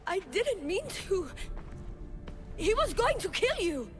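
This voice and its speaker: A woman's voice: very high-pitched, very animated, slow pace, faint music behind, exaggerated voice. A woman playing a passionate, ambitious young ruler in a video game, poised and earnest. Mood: fierce, shaken. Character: passionate, ambitious young female ruler of Atlantis, softly scheming. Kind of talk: video game cutscene acting.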